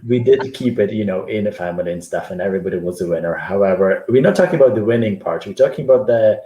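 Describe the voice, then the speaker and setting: Man from Ukraine, low warm voice, dry wit, echoey room. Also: fast, a little hiss or hum. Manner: dry, self-deprecating humor. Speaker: HR team member. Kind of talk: casual chat.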